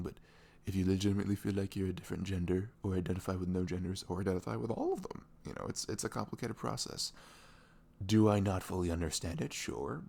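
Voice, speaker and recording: Man acting as low-voiced, gravelly, chatty young boyfriend. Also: exaggerated voice. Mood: gentle, musing. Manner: animated rambling, slangy, acts out quotes. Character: sweet, caring, chatty boyfriend on a morning after. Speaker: audio roleplay voice actor. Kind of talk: casual intimate ASMR boyfriend roleplay, rambling chat.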